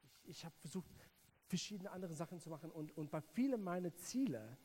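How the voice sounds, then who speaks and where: A man from South Africa, speaking with a South African English accent and a native English speaker, preaching a sermon in an earnest, halting way, often repeating words as he addresses the listener directly. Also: fairly high pitch, lively intonation, mellow.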